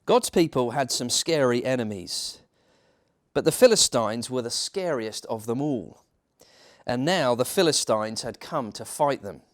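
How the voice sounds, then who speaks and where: A man reading a children's bedtime story as a church minister, theatrical, with dramatic pauses and emphasis. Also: medium pitch, expressive.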